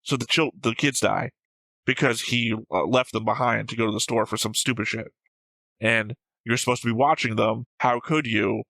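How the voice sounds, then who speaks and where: A man's voice: mid-range voice, steady tone, normal speed, creaky, a bit bright, dry recording, rough recording. A man who hosts a reaction show, talking casually and discursively about a series, rambling and swearing freely.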